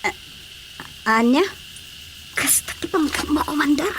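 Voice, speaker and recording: A woman's voice: high voice, a little slow, slight background noise. A woman playing an emotional, fretful female character in a radio drama. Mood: startled.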